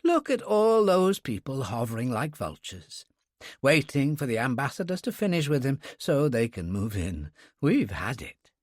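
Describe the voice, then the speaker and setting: A man's voice: medium pitch, very animated, normal speed, no echo, studio quality. A man voicing a genteel, easily flustered male professor with old-fashioned, effusive manners in an audiobook story. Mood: mournful.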